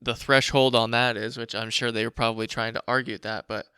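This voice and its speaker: A man hosting a casual commentary show, reading documents aloud and remarking on them in a blunt, informal, profane way, with a slight rasp. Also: medium pitch, level tone, fairly quick, bright, forceful tone, dry recording. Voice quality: raspy. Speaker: show host.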